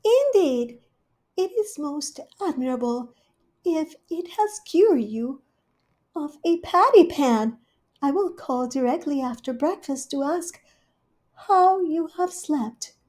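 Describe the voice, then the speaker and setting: Woman playing a prim fussy cat hostess, very high and fluttery, storybook. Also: animated, takes it slowly. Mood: solicitous. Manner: solicitous and prim, sharp when annoyed. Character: fussy, kindly female cat hostess, quick-tempered.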